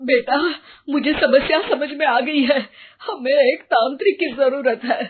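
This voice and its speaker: A woman voicing a superstitious, plaintive older mother-in-law in an animated moral story. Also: high-pitched, a little slow. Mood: suspicious. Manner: plaintive, insistent, dramatic. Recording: narrow, phone-like sound.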